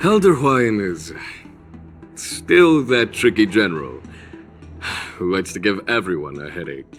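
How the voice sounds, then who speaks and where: A man playing a calm, courteous general who speaks formally and deliberately in an anime game, over music. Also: sits low. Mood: wry. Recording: music behind the voice.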